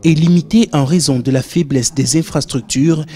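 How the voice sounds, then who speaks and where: A man's voice: a little high, moderate pace. Man narrating a documentary report. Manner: formal, even reading. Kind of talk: scripted narration.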